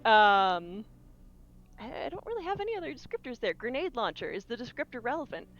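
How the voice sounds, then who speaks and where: Lively woman on a slightly dull mic. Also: a little high, a little fast, slight background noise. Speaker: player in a tabletop game. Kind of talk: casual, improvised game talk.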